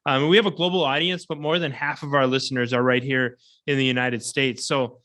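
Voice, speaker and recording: A man's voice: medium pitch, steady tone, brisk, pushed and bright. A man from Southeastern Wisconsin hosting a podcast, asking long, reflective questions. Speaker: podcast host.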